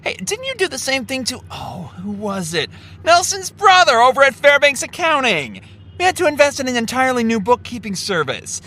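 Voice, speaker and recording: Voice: high-pitched, huge pitch swings, moderate pace, pushed and bright, heavily acted. An actor in an audio drama playing a flamboyant, sarcastic male entertainment executive with a theatrical, catty delivery.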